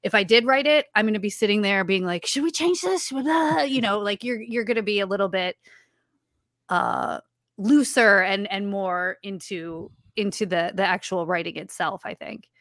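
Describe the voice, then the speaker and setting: A woman's voice: medium pitch, normal speed, a bit bright, dry recording, clean studio sound. A woman with a childlike voice, chatting as a guest in an interview about her writing and voice work, often restarting her sentences. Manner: chatty, with frequent restarts and fillers. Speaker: guest, writer and voice actor. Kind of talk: casual interview chat.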